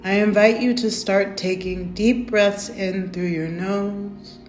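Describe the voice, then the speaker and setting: A woman leading a guided meditation in a gentle, soothing, unhurried way, softly inviting the listener to breathe. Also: medium pitch, fairly even intonation. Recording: roomy echo with faint music.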